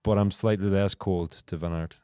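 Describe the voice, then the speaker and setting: A man's voice: sits low, fairly even intonation, normal speed, mellow, smooth, no echo, studio quality. A man co-hosting a casual podcast chat, analytical, working through long explanations with restarts. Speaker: podcast co-host.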